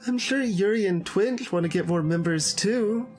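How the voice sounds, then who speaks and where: A man's voice: sits high, moderate pace, a little mellow, voice pushed up, natural voice. A man voicing a blunt, argumentative teenage schoolgirl while reading visual novel dialogue. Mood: blunt, insistent. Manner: earnest, argumentative. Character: blunt, assertive teenage schoolgirl in a literature club. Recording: game music behind the voice.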